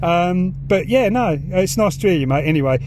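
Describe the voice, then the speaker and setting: A man's voice: high-pitched, animated, normal speed, background noise. A man with a southern English accent chatting casually and enthusiastically in a matey, informal way, as a hobbyist vlogger out by his car. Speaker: YouTube vlogger and radio hobbyist. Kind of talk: casual chat while demonstrating and talking on CB radio. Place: in and around a parked car outdoors.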